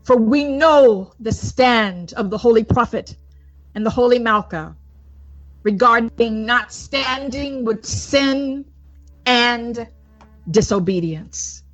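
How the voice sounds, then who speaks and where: A woman evangelist reads a report like a sermon, in emphatic, deliberate, broken phrases, repeating points for weight. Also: on the high side. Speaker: evangelist reading a prophecy report. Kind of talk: sermon-like scripted report reading. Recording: dull lo-fi audio with music underneath. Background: music.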